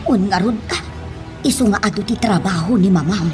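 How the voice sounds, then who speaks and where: A woman's voice: medium pitch, fairly quick, music in the background, close to an everyday voice. A woman acting expressively in an Ilocano radio drama. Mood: worried. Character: female household figures and a demanding town mayor.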